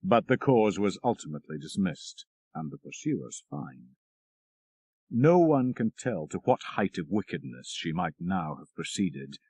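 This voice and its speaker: A man narrating a classic supernatural tale in a measured, expressive storytelling manner, with dramatic emphasis. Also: on the low side, no echo. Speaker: audiobook narrator.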